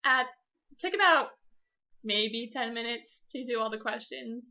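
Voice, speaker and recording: A woman's voice: on the high side, animated, a little slow, pushed and bright, lo-fi sound. A young adult woman telling a personal story casually as a vlogger, chatty, giggly and self-deprecating.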